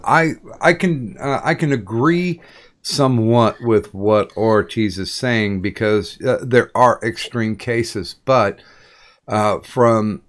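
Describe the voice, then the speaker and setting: A middle-aged man interviews a guest at a slow, unhurried pace, his voice sitting low. He stops often, restarts sentences and fills gaps with "uh" and "um." It sounds like relaxed conversation. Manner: slow, halting, many fillers and restarts. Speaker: podcast interviewer. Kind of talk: casual interview chat.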